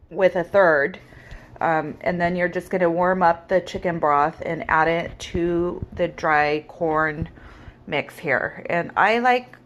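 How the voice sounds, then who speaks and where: A woman with a cold and a raspy voice, giving relaxed step-by-step instructions in a casual cooking tutorial. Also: fairly low pitch, dull audio. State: hoarse with a cold. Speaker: home cook.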